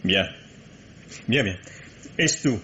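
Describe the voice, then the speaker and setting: An actor playing a terse, authoritative senior police chief in a French radio mystery play, asking clipped questions. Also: male voice, on the low side, animated, very slow, bright, forceful tone, dull audio, slight background noise, exaggerated voice. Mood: businesslike.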